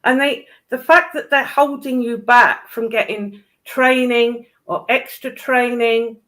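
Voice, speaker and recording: Slow-talking woman with a higher voice. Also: fairly even intonation, fairly bright tone. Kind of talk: casual chat.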